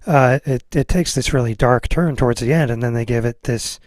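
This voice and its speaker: A man's voice: medium pitch, a little fast, darkish tone, dry recording, clean studio sound. A man hosting a podcast, chatting casually with his guest in a conversational, affirming way. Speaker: podcast host interviewing a guest. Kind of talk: casual interview chat.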